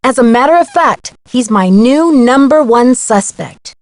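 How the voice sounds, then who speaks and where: The higher, close and dry voice of a level-headed girl sleuth reporting clues in a mystery adventure game. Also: lively intonation, a little slow, no room sound. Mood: matter-of-fact. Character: young female amateur detective, plucky, level-headed. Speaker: game voice actor. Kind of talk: scripted game dialogue.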